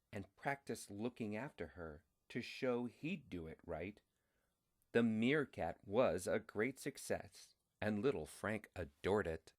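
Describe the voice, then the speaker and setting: A man reading a children's story aloud in a deliberate, expressive way. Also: fairly low pitch.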